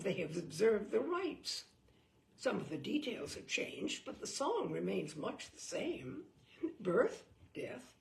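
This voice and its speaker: A woman reading a humorous book aloud in a theatrical, deadpan way, with dramatic emphasis and pauses. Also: fairly high pitch, gravelly. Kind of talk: theatrical read-aloud of dry comic prose.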